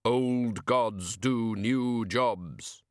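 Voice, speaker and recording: A man voicing a solemn skeletal reaper in an audiobook, speaking slowly and weightily in a matter-of-fact way. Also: medium pitch, no echo, studio quality. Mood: solemn, insistent. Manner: slow, weighty, measured delivery.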